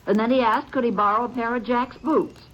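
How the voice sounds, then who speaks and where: A woman's voice: on the high side, steady tone, a little fast, highs cut off, lightly acted. A woman playing a blunt country stepmother. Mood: plainspoken. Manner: drawling country speech. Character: rural stepmother, plainspoken and blunt. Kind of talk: 1950s radio drama acting.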